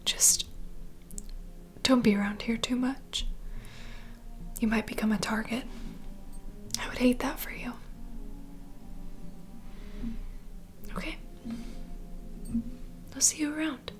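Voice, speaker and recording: A woman's voice: on the high side, a little slow, pauses often, soft background music, close to an everyday voice. A woman playing a worldly, kindly vampire bartender in an ASMR roleplay, whispering intimately and conspiratorially to the listener. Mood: protective. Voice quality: breathy whisper.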